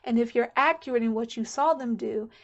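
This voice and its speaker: A woman's voice: fairly high pitch, fairly quick, muffled recording. A woman giving a casual pick-a-card tarot reading to camera, chatty and candid, thinking aloud as she goes. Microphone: camera mic.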